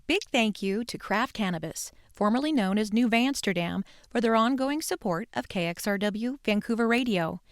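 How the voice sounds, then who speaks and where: A woman's voice: fairly high pitch, expressive, moderate pace, few pauses, no room sound. Dry, close-sounding American female announcer. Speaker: sponsor announcer. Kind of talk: scripted radio sponsor read.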